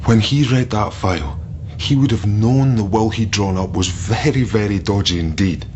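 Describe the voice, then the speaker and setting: An actor playing a bitter, unstable ex-soldier holding someone captive in a naturalistic audio drama, brooding, halting and insistent. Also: male voice, fairly low pitch, a little mellow, natural voice. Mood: bitter, accusing. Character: bitter, unstable ex-soldier captor nursing betrayal. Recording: dull muffled audio, faint noise.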